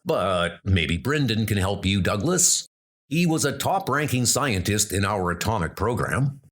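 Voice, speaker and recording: A man's voice: fairly low pitch, lively intonation, a little slow, a bit bright. A man voicing the grave male leader of a band of knights, a former soldier who speaks in a plain, somber way.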